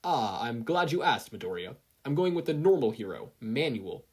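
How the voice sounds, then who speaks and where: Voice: mid-range voice, sing-song, moderate pace, darkish tone, slightly rough. A narrator voicing an earnest teenage boy who speaks in a formal, emphatic manner.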